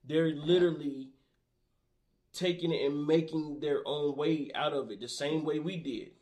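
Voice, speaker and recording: A man's voice: on the high side, moderate pace, a little mellow. A man in casual, profane conversation, arguing his point with laughs and repeated restarts. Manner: profane, argumentative, laughs often. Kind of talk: casual debate chat.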